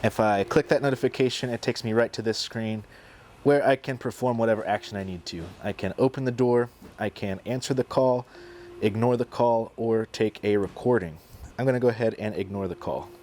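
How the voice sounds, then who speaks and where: A man's voice: lowish voice, brisk, darkish tone, slight background noise. A man presenting a product tutorial, explaining things step by step in a plain-spoken, casual way.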